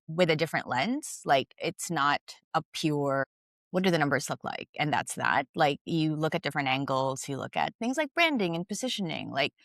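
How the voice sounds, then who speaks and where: A low-voiced woman in her thirties from the Philippines talks casually as an interview guest. Her speech is chatty and loose, full of fillers and easy laughs. The sound is dry and close. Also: a bit bright, no echo. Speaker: guest, investment director.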